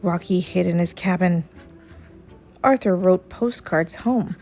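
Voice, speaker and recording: A woman reading a children's story aloud as an expressive storyteller. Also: on the low side, takes it slowly. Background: soft music.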